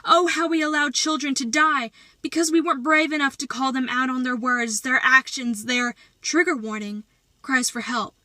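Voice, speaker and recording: A woman performing slam poetry as a poet, with dramatic, deliberate delivery and emphatic phrasing. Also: high voice, steady tone, pushed and bright, dry recording.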